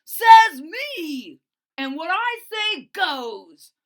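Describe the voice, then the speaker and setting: A woman's voice: very high-pitched, sing-song, drawn-out words, voice pushed up, full character voice. A woman voicing a boastful, bossy young male dinosaur bully in a storybook, with taunting, emphatic delivery. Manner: taunting, emphatic, stretched-out words.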